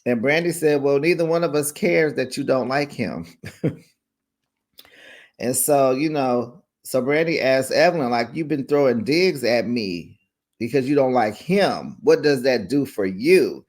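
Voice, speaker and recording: A man's voice: medium pitch, lively intonation, measured pace, a little mellow. A man hosting a casual recap show, chatty and gossipy, acting out quotes in a raised voice and laughing along.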